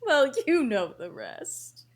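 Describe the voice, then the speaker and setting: A woman's voice: on the high side, huge pitch swings, slow, exaggerated voice. A woman reading a flamboyant, scheming fairy godmother in a live table read, with a showy sing-song delivery.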